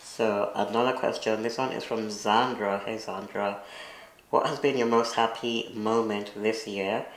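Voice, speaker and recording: A man from Kenya co-hosting a relaxed chat, answering viewer questions with lots of fillers. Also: fairly low pitch, measured pace, echoey room, low-quality recording. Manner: relaxed, conversational, with many fillers. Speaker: co-host.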